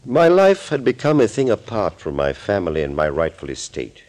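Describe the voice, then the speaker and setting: An actor playing a sinister middle-aged doctor, florid and mock-polite, in a 1940s radio thriller. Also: male voice, on the low side, wildly swooping pitch, normal speed, darkish tone. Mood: brooding, bitter. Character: sinister middle-aged male doctor, suave, gloating schemer.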